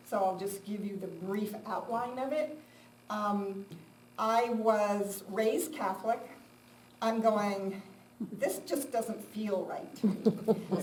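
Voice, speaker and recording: Woman speaking in a noisy, echoey room. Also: mid-range voice. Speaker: guest speaker. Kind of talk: informal spoken talk to a live audience. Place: room with a live audience. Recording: roomy, distant mic, loud background noise.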